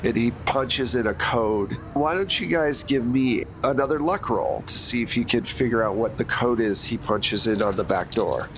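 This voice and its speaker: A man narrating as game master of a tabletop game, describing scenes in a relaxed way with frequent fillers. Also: mid-range voice, moderate pace, few pauses. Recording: noisy background.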